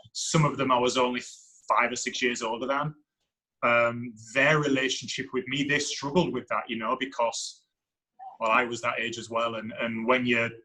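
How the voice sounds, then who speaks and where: Deep-voiced male coach, bright and reflective. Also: rough recording. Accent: foreign to the United States. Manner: reflective, thinking aloud. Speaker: women's soccer head coach. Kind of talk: podcast interview answer.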